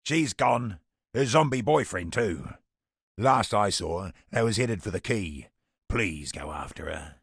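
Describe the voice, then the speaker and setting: An actor playing a sardonic, roguish antiquities trader in a fantasy video game, with a gravelly, husky British voice and a wry, colloquial way of talking. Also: male voice, fairly low pitch, expressive, takes it slowly, dry recording, clean studio sound, heavily acted. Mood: grave, warning. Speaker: game non-player character merchant. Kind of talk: theatrical video game character dialogue.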